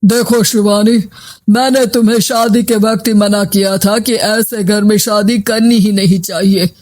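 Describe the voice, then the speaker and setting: A man's voice: sits high, fairly even intonation, measured pace, a little mellow. A man voicing a troubled, earnest family man in a moral story. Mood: disapproving. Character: troubled husband or stern father in a family story. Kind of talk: story voice acting.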